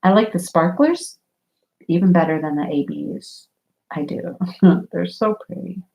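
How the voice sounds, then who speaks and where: A woman's voice: on the low side, measured pace, vocal fry, mellow, highs cut off. Chatty woman with a stuffy nose. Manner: rambling, chatty, laughs often. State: stuffy nose from a sinus infection. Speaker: diamond painting livestream host. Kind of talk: casual chat while crafting.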